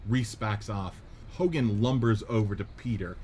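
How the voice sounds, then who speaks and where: Man reading script directions, lowish voice, steady pace, faint hiss behind. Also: moderate pace. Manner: steady, descriptive. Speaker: narrator reading stage directions. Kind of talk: radio play script reading.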